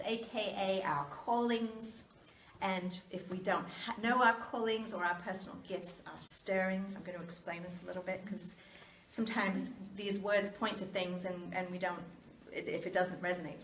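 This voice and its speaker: A woman delivering an inspirational, sermon-like talk with deliberate, measured phrasing and pauses. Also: medium pitch. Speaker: speaker giving a talk to a congregation. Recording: echoey room, distant mic, faint background noise.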